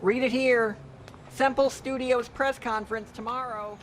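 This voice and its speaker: A woman acts a street news hawker from an old radio play. The voice is high and punchy, calling headlines over faint ambient noise. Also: steady tone, unhurried, close to an everyday voice, poor audio. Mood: brisk, hawking. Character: brash street newspaper seller hawking headlines. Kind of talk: 1950s radio drama acting.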